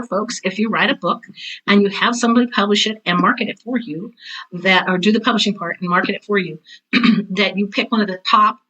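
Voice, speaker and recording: A woman's voice: mid-range voice, bright, forceful tone, poor audio. A middle-aged or older woman telling personal stories as an interview guest, candid and emphatic, repeating phrases for stress. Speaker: interview guest, theater teacher and author. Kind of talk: casual personal storytelling in an interview.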